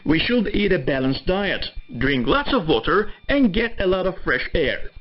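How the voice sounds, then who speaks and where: A man's voice: sits high, sing-song, normal speed. A man reading a scripted public information announcement on shortwave radio. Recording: dull narrowband shortwave radio reception.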